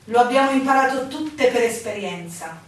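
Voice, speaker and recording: An actress playing a proud, world-weary married innkeeper in a radio drama, measured, dismissive and admonishing. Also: female voice, fairly high pitch, animated, close to an everyday voice. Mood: guarded. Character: proud, world-weary married woman who runs an inn. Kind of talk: Italian radio drama acting. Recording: echoey room, distant mic, faint noise.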